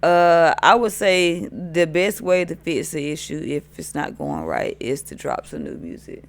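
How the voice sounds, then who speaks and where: A woman DJ with a deep voice, chatting as a podcast guest in a laid-back, hesitant way. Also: expressive, a little slow, creaky. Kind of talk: casual interview chat.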